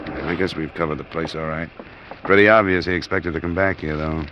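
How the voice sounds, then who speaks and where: An actor playing a cool, dogged insurance investigator in a 1950s radio drama, with an understated, matter-of-fact delivery. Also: male voice, rumbling low, steady tone, a little fast, a little hiss or hum. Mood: businesslike. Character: cool, dogged male freelance insurance investigator narrating his case. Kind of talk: 1950s radio detective acting and first-person narration. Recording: dull vintage radio recording with music.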